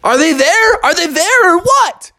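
A man voicing an excitable young male bear ballplayer, talking in a high, whiny, excited way. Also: unhurried, gravelly, full character voice.

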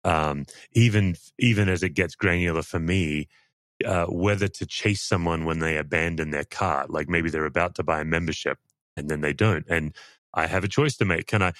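Deep-voiced man interviewing casually. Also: moderate pace, fairly bright tone, no echo, clean studio sound. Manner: rambling, self-deprecating, frequent restarts. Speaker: podcast host.

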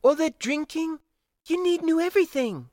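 A man's voice: very high voice, animated, a little slow, darkish tone, no room sound, clean studio sound, voice pushed up. A man voicing a young female officer in an audiobook story, scolding and exasperated but concerned. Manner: scolding, teasing.

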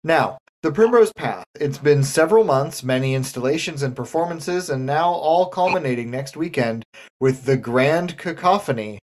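A woman's voice: sits low, lively intonation, normal speed, darkish tone. A woman host moderating a scripted comic radio talk show.

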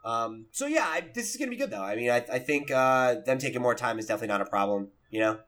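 A man's voice: mid-range voice, lively intonation, fast talker, a bit bright. A man co-hosting a casual gaming podcast, chatting informally and freely using swear words. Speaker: podcast co-host.

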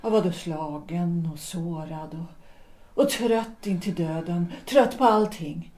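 An actress playing a weary but resolute wife in a period audio drama, speaking in a deliberate, reflective way with measured pauses. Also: female voice, fairly low pitch, darkish tone, close to an everyday voice. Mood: earnest, grave. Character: weary, resolute wife and former opera singer, 1900s Stockholm. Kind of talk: period stage-play audio drama acting.

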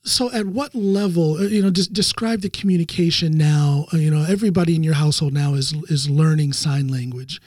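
A man's voice: on the high side, fairly quick, hardly any pauses, a little mellow, dry recording, clean studio sound. A man hosting a podcast interview, asking encouraging questions with stammered repeats and fillers. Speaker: podcast host.

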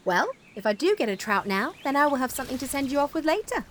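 An actress playing a practical, generous, dryly shrewd wartime countrywoman in a naturalistic audio drama, with a brisk no-nonsense manner. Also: high-pitched, sing-song, a little hiss or hum. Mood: kindly insistent.

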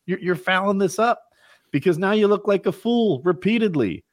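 Exasperated high-voiced man, very lively. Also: normal speed, dry recording, clean studio sound. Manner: exasperated, scolding. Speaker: podcast co-host. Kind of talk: casual chat.

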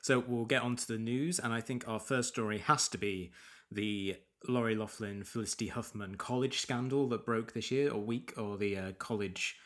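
A man's voice: lowish voice, steady tone, moderate pace, fairly bright tone. A man hosting a casual film podcast, a little sniffly from allergies, rambling with many restarts. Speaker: podcast host.

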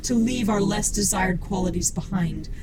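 A woman's voice: medium pitch, moderate pace, background noise, close to an everyday voice. A woman playing a cold, grandiose cyborg priestess in an audio drama, speaking in a solemn, declamatory manner.

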